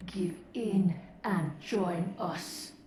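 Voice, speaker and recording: A woman's voice: on the low side, roomy sound, a loud crowd. A woman playing a menacing, scornful spirit in a video game, speaking in an ominous, seductive, portentous way. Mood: coldly tempting. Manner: slow, ominous, portentous delivery. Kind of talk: video game villain acting.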